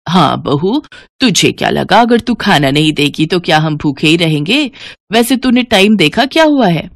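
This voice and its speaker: A woman's voice: fairly low pitch, big pitch swings, normal speed, no echo, studio quality. A woman voicing a bossy, scolding older rural aunt-in-law in a cartoon family story. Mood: bossy, cutting. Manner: complaining, domineering. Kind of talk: Hindi cartoon story voice acting.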